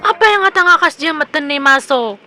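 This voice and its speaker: A woman's voice: sits high, animated, a little fast, bright, forceful tone, faint music behind, heavily acted. A Filipino woman playing a lovestruck, persistent young single villager in a comedic radio drama, pleading and coy. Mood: hurt, puzzled.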